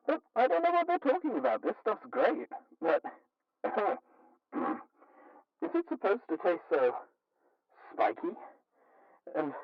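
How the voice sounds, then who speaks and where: Muffled, high-voiced rambling caller, played by a man. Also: dry recording, heavily acted. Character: oblivious, rambling male radio caller munching food. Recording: muffled, distant phone call.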